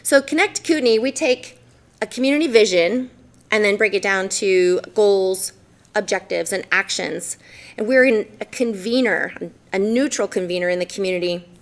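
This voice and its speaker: A woman's voice: mid-range voice, moderate pace. A woman in her forties from Idaho giving a formal presentation in a city council chamber, earnest and sprinkled with ums and self-corrections. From Idaho, USA.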